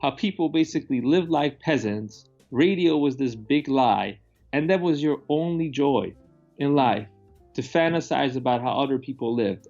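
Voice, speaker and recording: Gravelly man in casual, opinionated film chat. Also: a little high, a little mellow, lo-fi sound. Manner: rambling, opinionated, casually profane. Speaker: movie review host.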